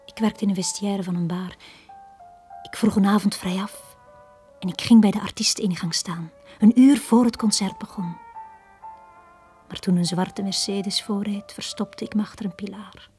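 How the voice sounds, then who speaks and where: A Flemish actress playing a lonely, downtrodden young bar girl in a radio drama, speaking in a hushed, halting, confiding way. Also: mid-range voice, steady tone, faint music behind, close to an everyday voice. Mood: melancholy. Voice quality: breathy, hushed.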